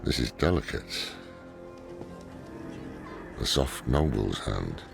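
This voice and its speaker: An actor playing a gruff, cynical old demon hunter with a blunt, weary manner in a video game. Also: male voice, big pitch swings, slow, exaggerated voice. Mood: grim, dismissive. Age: older. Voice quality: deep, gravelly. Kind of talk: dramatic video game acting. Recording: dramatic music under the voice.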